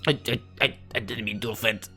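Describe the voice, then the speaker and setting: A man acts out a nervous young male newcomer in a visual novel. He stammers meekly and apologetically, with soft music behind him. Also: mid-range voice, steady tone, brisk, slightly rough, heavily acted. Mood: flustered, apologetic. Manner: stammering, meek.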